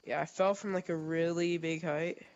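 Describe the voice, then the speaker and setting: A young man, a streamer recovering from a cold, chatting casually and haltingly while he plays, with lots of fillers and restarts. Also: on the high side, fairly even intonation, highs cut off. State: sniffly, getting over a cold. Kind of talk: casual gaming chat.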